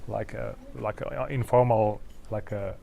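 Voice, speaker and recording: A Finnish man in his forties, a designer giving a casual talk with slides to an audience, halting and self-deprecating, clearing his throat often. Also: on the low side, fairly even intonation, narrow, tinny audio. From Finland. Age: 40s. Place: event venue in front of an audience.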